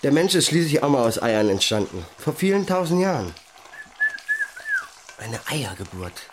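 An actor playing an opinionated, hot-tempered, meat-loving band musician with a blustering, pompous manner in a radio play. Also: male voice, medium pitch, very animated, normal speed, noisy background, close to an everyday voice. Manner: pompous pronouncements, coarse cursing.

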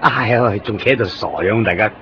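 A man's voice: lowish voice, fast, muffled recording, slight background noise, close to an everyday voice. An actor playing a talkative, jokey Hong Kong man who lives in Japan and picks up a traveller, in a 1990s radio drama. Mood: chatty. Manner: talkative, jumps between loud excitement and muttering.

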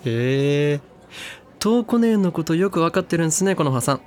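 A man's voice: fairly high pitch, animated, normal speed, a little mellow, natural voice. A man playing a confident, pushy younger teenage boy with casual slangy speech in a Japanese anime audio drama. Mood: breezy, curious.

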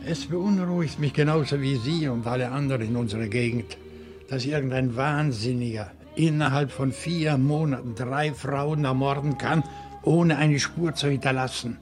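An actor playing a courteous, bookish professor in a German radio crime play, speaking precisely and deliberately. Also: male voice, medium pitch, faint music behind, lightly acted. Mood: earnest, reflective. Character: courteous, bookish professor, a night owl with a dog.

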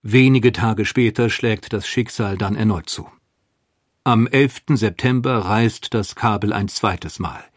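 A man's voice: lowish voice, unhurried, breathy edge, no room sound, studio quality. A man reading scripted documentary narration as the narrator.

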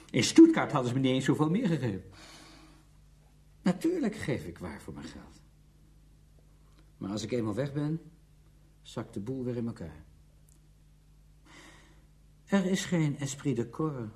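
Conceited, sardonic orchestra conductor. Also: male voice, medium pitch, sing-song, unhurried, pauses often, mellow. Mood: scornful, boastful. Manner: self-important, dismissive. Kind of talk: radio play dialogue.

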